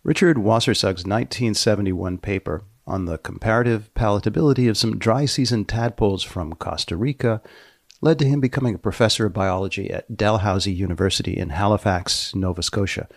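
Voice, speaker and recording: A man hosting a podcast, narrating from a script with deliberate pauses and dramatic emphasis. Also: fairly low pitch, vocal fry, no echo, studio quality. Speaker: podcast host.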